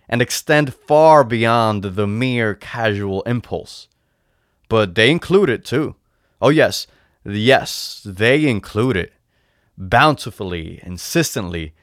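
A man's voice: on the low side, lively intonation, slow pace, a bit bright, no room sound, clean studio sound. A man voicing a sly old shopkeeper in a storybook tale, patient, persuasive and emphatic, savoring his words. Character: sly old male shopkeeper selling potions, patient and coaxing. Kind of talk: storybook character voice in a read-aloud tale.

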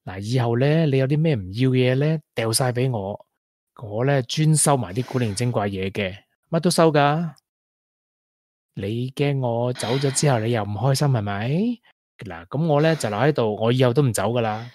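A man's voice: mid-range voice, normal speed, a little mellow, dry recording, clean studio sound, close to an everyday voice. An actor with a Hong Kong Cantonese accent playing a caring, playful young man in a radio drama, with a coaxing, colloquial manner. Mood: tender, teasing. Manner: coaxing, colloquial, with English interjections. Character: caring, playful, persistent young man wooing a grieving colleague.

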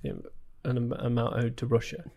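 A man's voice: medium pitch, very animated, fairly quick, vocal fry, darkish tone, dull audio. A man co-hosting a podcast, chatty and quizzing the others. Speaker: podcast co-host. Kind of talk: casual group chat.

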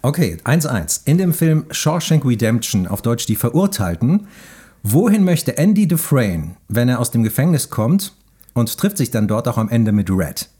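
A man with a mid-range, slightly husky voice hosts a casual quiz. His pitch moves around in a lively way as he asks questions and banters as quizmaster. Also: normal speed. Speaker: podcast host and quizmaster.

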